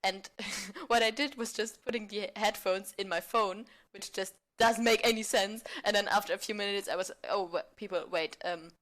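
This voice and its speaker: A woman's voice: medium pitch, fairly even intonation, normal speed, a bit bright. A woman speaking as a podcast guest, casual and a little hesitant as she finds her words. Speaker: theatre group member, podcast guest. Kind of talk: casual chat and improvised banter. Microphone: recorded remotely on a phone.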